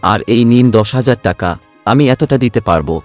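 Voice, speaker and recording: A man's voice: medium pitch, lively intonation, moderate pace, darkish tone, faint music behind, natural voice. A man playing a self-centred young working son from a village in a cartoon story, speaking emotionally. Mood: indignant, dismissive.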